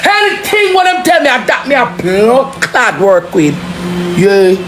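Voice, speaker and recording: A woman with a Jamaican accent in an impassioned, forceful street-style rant, cursing heavily and repeating phrases for emphasis. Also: fairly high pitch, sing-song, measured pace, over music. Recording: roomy echo, clearly noisy background.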